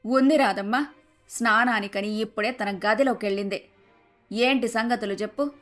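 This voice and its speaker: A firm, protective mother-in-law from a family moral story, acted by a woman. Her voice is fairly high, with faint music behind it. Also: normal speed, a bit bright, lightly acted. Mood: friendly.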